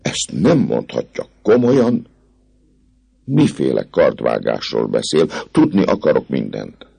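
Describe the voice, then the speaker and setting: A man's voice: rumbling low, big pitch swings, gravelly, dark tone, breathy edge, no room sound, exaggerated voice. A man voicing an inquisitive, coquettish young noblewoman who pouts and presses with questions. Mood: alarmed. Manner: pouting, questioning.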